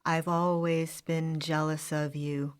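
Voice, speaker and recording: Zombie thrall played by a woman, low-pitched, dragging delivery, fawning and obedient, audio drama. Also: little pitch movement, slow, clear, resonant tone. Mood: servile. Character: mind-controlled teenage zombie thrall, fawning, blankly servile.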